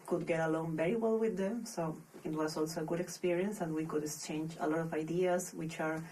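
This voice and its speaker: A young Peruvian woman with a Peruvian Spanish accent talks in English, not her first language, in a fairly low voice. She sounds a little distant from the microphone, with a faint hiss of room noise behind her. She speaks thoughtfully, often pausing and restarting her phrases as she searches for words. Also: steady tone, mellow. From Peru. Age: young adult. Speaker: podcast guest, master's student. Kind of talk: casual interview.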